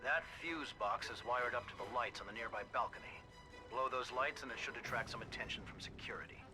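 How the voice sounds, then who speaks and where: A man playing a composed, middle-aged spy team leader giving measured, businesslike mission briefings in a video game. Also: mid-range voice, natural voice. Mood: instructing. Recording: loud music behind the voice. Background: loud music.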